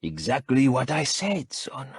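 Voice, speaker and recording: A man's voice: lowish voice, expressive, moderate pace, husky, no room sound. A man voicing a cold, menacing older crime boss with a Mexican accent who speaks in a growl, matter-of-fact.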